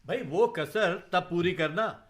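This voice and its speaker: A man's voice: high voice, takes it slowly. An actor in a Hindi radio play voicing a jovial husband and father, a hearty host with a joking, familiar manner. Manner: jocular, familiar.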